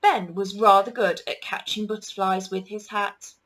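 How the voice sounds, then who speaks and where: A woman reading a children's picture book aloud as a storyteller, with deliberate, dramatic emphasis. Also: mid-range voice, steady tone, a bit bright.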